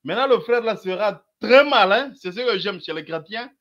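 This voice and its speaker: A man's voice: high-pitched, expressive, normal speed, a bit bright. A man commenting sarcastically on a replayed religious debate, repeatedly urging his listeners to follow along. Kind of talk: mocking commentary over replayed clips.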